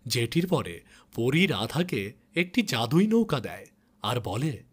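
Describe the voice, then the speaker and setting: A man's voice: medium pitch, sing-song, slow, husky. A man narrating a scripted moral tale as a storyteller.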